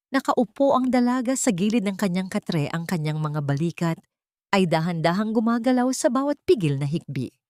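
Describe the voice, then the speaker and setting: Female storyteller, Filipino accent, lower register, solemn measured dramatic narration, dry close recording. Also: darkish tone, no echo.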